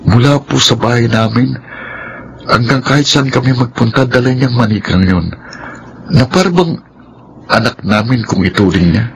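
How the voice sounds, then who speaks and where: An actor playing a worried, earnest young husband with a Filipino accent in a radio drama. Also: male voice, medium pitch, normal speed, creaky, pauses often, slight background noise, natural voice, rough recording.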